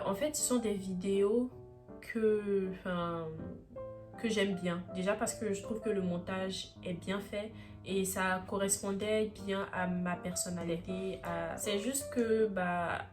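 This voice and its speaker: An eighteen-year-old woman from Ivory Coast with an Ivorian French accent, talking to the camera in a casual vlog and often pausing to search for words. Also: mid-range voice. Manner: hesitant, searching for words, many fillers. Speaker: YouTuber.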